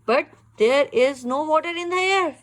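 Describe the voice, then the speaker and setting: A woman reads a children's picture book aloud in an expressive, instructive way, pausing to ask questions. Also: high-pitched. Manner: expressive, instructive, exclaims and checks understanding. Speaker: reader teaching a young child.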